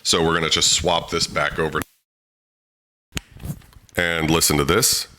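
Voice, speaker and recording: A man with a very low, deep voice and a crisp, bright edge talks through microphone tips in a loose, chatty way, with fillers like "um" and "you know". He speaks close to a handheld mic in a home studio. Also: a little slow, slight background noise. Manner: relaxed, chatty, frequent fillers. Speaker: audio tutorial host. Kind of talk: casual how-to explainer.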